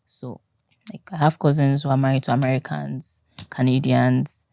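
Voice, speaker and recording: Young Nigerian woman, Nigerian accent, very low and mellow, loud and expressive interview guest. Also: normal speed, creaky, dry recording, clean studio sound. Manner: loud, expressive, laughs often. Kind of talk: conversational interview.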